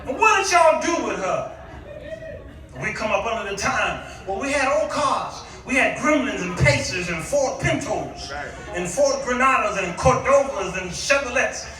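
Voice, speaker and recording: A middle-aged American man preaching a humorous, animated storytelling sermon, often throwing questions out to his congregation. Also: very high-pitched, moderate pace. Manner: animated, declamatory, often calling on the listeners with questions. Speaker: guest preacher. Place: large echoey room with a congregation. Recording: echoey hall, distant mic, audience noise.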